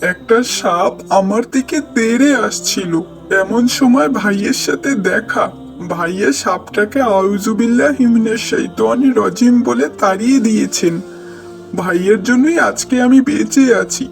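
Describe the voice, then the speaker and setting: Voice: mid-range voice, normal speed, vocal fry, faint music behind, natural voice. A performer voicing an affectionate, easily frightened young boy in a children's cartoon, earnest and pleading. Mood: grateful, pleading.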